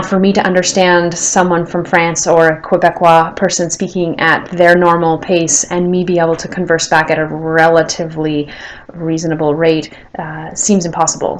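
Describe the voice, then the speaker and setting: Canadian woman in her 30s, kinda low voice, talking earnestly about herself and stopping to rephrase. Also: slight background noise. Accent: Canadian English. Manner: earnest, thoughtful, pauses to rephrase. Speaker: language learner. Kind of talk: personal video introduction.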